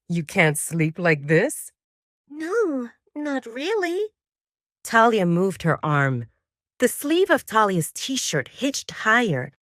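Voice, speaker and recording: A woman narrating scripted romance fiction in an expressive, dramatic storytelling manner. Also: mid-range voice, no echo, clean studio sound.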